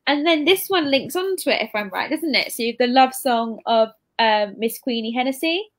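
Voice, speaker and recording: A woman's voice: fairly high pitch, expressive, normal speed. A woman, hosting an interview in a casual, chatty, informal way. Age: 20s. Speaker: interviewer, bookshop event host. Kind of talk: casual chat. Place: indoor room, on a video call. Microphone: computer mic on a video call.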